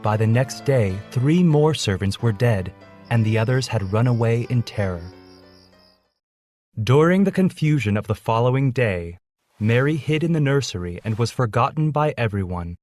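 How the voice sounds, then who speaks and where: A man's voice: mid-range voice, lively intonation, moderate pace, a little mellow. A man narrates a scripted story for children. His voice sits in a middle range, and faint music plays beneath the reading.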